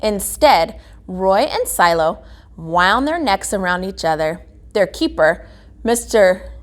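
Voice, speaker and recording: A woman reading a children's picture book aloud as a guest reader, phrasing it deliberately with emphasis. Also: medium pitch. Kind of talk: children's storybook read-aloud.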